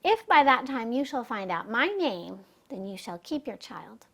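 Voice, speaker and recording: A woman's voice: a little high, very animated, a little slow, creaky, fairly bright tone, full character voice. A woman storyteller voicing a sly, demanding little magical man in a children's fairy tale.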